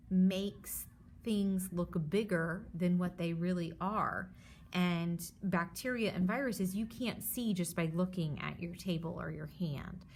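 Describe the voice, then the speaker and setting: Lively woman teacher reading aloud to children. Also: lowish voice, a little hiss or hum. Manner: explanatory and reassuring, checking in with listeners. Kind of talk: children's picture book read-aloud with explanatory asides.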